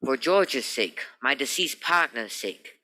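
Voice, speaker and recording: A man acts out a preening, slick business partner in an old radio mystery. The voice is very bright and forceful at a middling pitch. He talks with pompous, flowery flourishes. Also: lively intonation, takes it slowly. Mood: wounded dignity. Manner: pompous, flowery, mannered delivery. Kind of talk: old-time radio crime drama acting.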